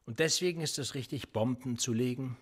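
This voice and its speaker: An actor playing a cold, probing police interrogator in a radio drama, needling and calm. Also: male voice, medium pitch, fairly quick, close to an everyday voice. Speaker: police investigator.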